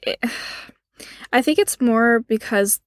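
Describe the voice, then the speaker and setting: A woman with a slightly deep voice in a casual chat, agreeable and hesitant, searching for words. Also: takes it slowly, dry recording, clean studio sound. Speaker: co-host.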